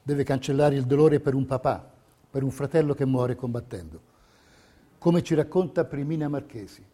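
An actor playing a solemn narrator who reads wartime history and letters in a theatrical documentary style. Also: male voice, mid-range voice, moderate pace, dark tone, lightly acted. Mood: grave.

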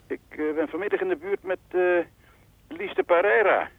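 An actor playing a chatty, insinuating caller in a radio drama, hedging and persuasive, through a telephone filter. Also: male voice, sits high, big pitch swings, normal speed, creaky, heavily acted. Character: chatty, insinuating male acquaintance calling by telephone. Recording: thin, band-limited telephone line.

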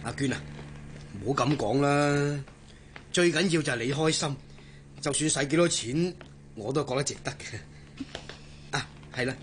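Actor as earnest young Hong Kong sailor, higher-pitched. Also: male voice, unhurried, pauses often, a little hiss or hum, lightly acted. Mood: tender, caring. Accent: Hong Kong Cantonese. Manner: earnest, colloquial, solicitous. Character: earnest, devoted young sailor, kindly and plain-spoken. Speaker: actor in radio drama. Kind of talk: Cantonese radio drama dialogue.

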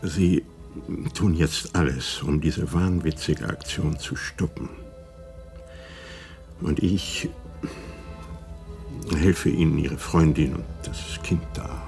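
An actor playing a blunt, stern intelligence interrogator in a radio drama, confrontational and deliberate in delivery. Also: male voice, very deep voice, vocal fry, a little mellow, soft background music, full character voice. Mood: urgent.

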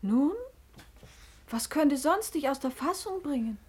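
Lively high-voiced sultan's sister. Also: female voice. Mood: concerned. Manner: theatrical, measured stage diction. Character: clever, devoted sister of a sultan. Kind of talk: 1950s German radio play acting.

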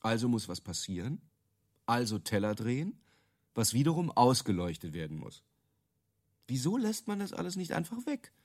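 A man's voice: mid-range voice, sing-song, moderate pace, darkish tone. A male actor playing a self-assured, cynical inventor of useless features, explaining himself wryly as an interviewee. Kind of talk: conversational radio drama acting.

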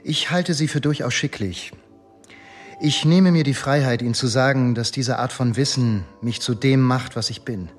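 An actor playing a male police inspector in a radio drama, deferential yet self-assured, speaking formally and in a measured, self-justifying way. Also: medium pitch, soft background music, lightly acted. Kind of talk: German radio drama dialogue.

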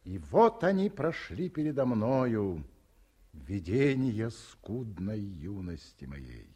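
A man's voice: on the low side, sing-song, a little mellow, breathy edge, exaggerated voice. An actor playing a tired, teasing older father in a 1950s radio play. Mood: musing teasing. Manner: musing, teasing, unhurried. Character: weary, ironic older father.

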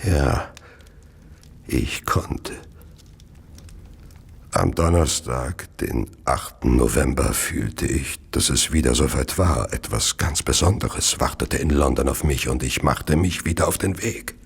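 An actor playing a tormented murderer, narrating his deeds in a brooding, measured, gravelly voice for a radio play. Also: exaggerated voice. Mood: brooding, obsessive. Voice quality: very deep, gravelly. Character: tormented, murderous male serial killer confessing his deeds. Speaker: narrator-character in an audio drama. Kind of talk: dramatic first-person radio play narration.

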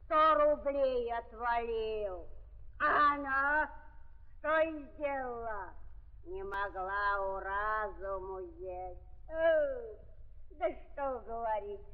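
Old village aunt, 1930s radio drama: rural Russian accent, wheedling, self-excusing, very slow delivery, boxy vintage sound. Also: female voice, fairly high pitch. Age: older. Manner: folksy, lamenting, sing-song with repetitions. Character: garrulous, fawning old peasant aunt, sly and self-excusing. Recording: muffled, reverberant 1930s archive recording.

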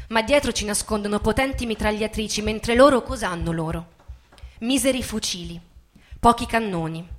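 A woman giving a dramatic literary stage reading, expressive and measured, with deliberate pauses. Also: medium pitch. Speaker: stage performer reading a narrative. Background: live musical accompaniment with guitar.

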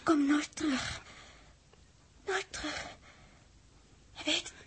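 An actress playing a delirious, despairing young woman who is gravely ill, in a 1960s radio drama, speaking in repetitive fragments. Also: high voice, steady tone, slow, fairly bright tone. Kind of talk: 1960s Dutch radio play acting.

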